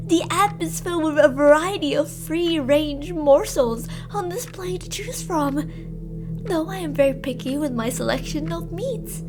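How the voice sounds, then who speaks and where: A woman playing an unhinged, gloating cannibal killer in a scripted ASMR roleplay, with a mock-sweet, theatrical, taunting and drawn-out delivery. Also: high-pitched.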